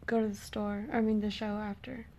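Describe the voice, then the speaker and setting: A woman's voice: medium pitch, flat delivery, fairly quick, darkish tone, dull audio. A young woman who grew up in Texas, chatting casually with her boyfriend and teasing him. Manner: laughing, teasing. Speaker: vlogger's girlfriend. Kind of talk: casual chat.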